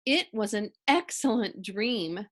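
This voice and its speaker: A woman reading a children's story aloud with expressive narration. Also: medium pitch, slow pace.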